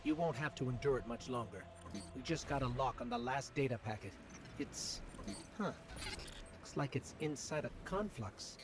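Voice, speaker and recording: Composed male game operative, played by a man: attentive, matter-of-fact briefings, mid register, clear background noise. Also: medium pitch, expressive, normal speed, natural voice. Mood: focused, puzzled. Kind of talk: video game voice acting.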